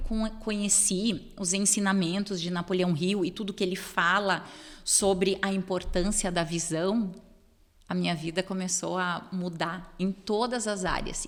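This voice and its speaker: A middle-aged woman from southern Brazil, with German as her first language, co-hosting a podcast and recounting her path in a reflective, storytelling way. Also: mid-range voice. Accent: southern Brazilian Portuguese with German roots. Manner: storytelling, reflective, warm affirmations. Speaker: podcast co-host. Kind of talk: casual podcast conversation. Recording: long hall reverb.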